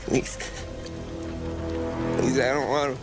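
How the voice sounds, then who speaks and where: An actor playing a terrified street thug in a video game cutscene, pleading fearfully for mercy. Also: male voice, medium pitch, level tone, slow, over loud music, lightly acted. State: pleading in fear.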